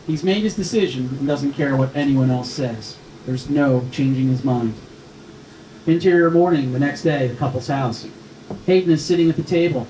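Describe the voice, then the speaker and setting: A man's voice: a little high, mellow. A man reading stage directions as scripted narration at a screenplay table read. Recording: echoey room with faint noise.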